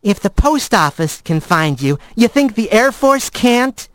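A man's voice: high-pitched, very animated, a little slow, fairly bright tone, no room sound, pitched above the performer's own voice, heavily acted. A man voicing a brash, teasing young female woodland animal with a wry, casual manner in a storybook. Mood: needling. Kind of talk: animal fantasy audiobook character.

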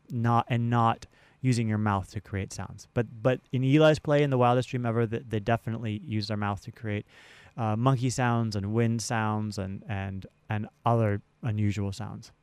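A man's voice: on the low side, clean tone, no echo. Male theater director as interview guest; clean, resonant voice, conversational with repeated starts, very dry close-mic sound. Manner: halting, repeats words while explaining. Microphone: close studio broadcast mic.

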